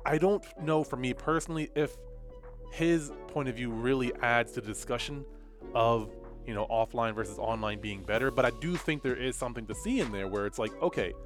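A man with a stuffy, slightly nasal voice talks through his thoughts in a loose, rambling way, full of little fillers and restarts. His pitch sits in an ordinary middle range. Faint music plays quietly underneath. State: stuffy nose. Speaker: fighting game commentator and content creator. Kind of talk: casual commentary talking to camera.

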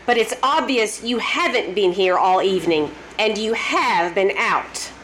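An actress playing an impatient, worried, suspicious wife in old-time radio drama style, with insistent, questioning delivery. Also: female voice, mid-range voice, animated, unhurried, a bit bright. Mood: suspicious, exasperated. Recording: noisy live stage performance recording.

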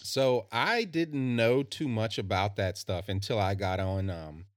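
A man, a musician guest, telling stories in an easygoing, casual way with drawn-out fillers. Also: on the low side. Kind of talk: casual interview chat.

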